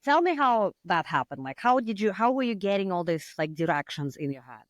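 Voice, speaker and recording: A woman's voice: medium pitch, big pitch swings, brisk, dry recording, clean studio sound. A woman hosting a podcast, chatting casually with a guest and laughing often. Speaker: podcast host. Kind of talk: casual interview chat.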